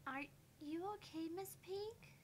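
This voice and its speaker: High-pitched, halting little girl. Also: a little hiss or hum. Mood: worried, flustered. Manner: halting, stammering. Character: small worried little girl, halting and earnest.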